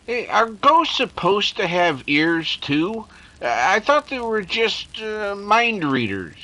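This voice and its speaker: A man's voice: high-pitched, sing-song, slow pace, bright, forceful tone, exaggerated voice, low-quality recording. A man playing a slangy, jumpy detective's sidekick who blusters and hesitates in a 1930s radio drama. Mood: uneasy. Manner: colloquial, hesitant, stammering bluster. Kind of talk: 1930s radio comic-relief acting.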